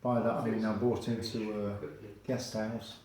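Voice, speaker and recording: An older man from England, telling his story in an interview, hesitant and halting with many fillers. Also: lowish voice, flat delivery, a little mellow, roomy sound, slight background noise, low-quality recording. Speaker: guest.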